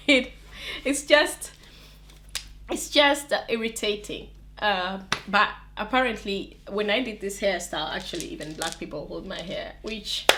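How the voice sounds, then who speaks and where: Brief: young woman with an accent, animated vlogger, laughs and restarts a lot, talking to camera. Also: mid-range voice, a little hiss or hum. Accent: non-native English accent. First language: English counts as mother tongue, not from England or America. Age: young adult. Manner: chatty and animated, laughing often, with many restarts. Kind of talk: casual talk to camera.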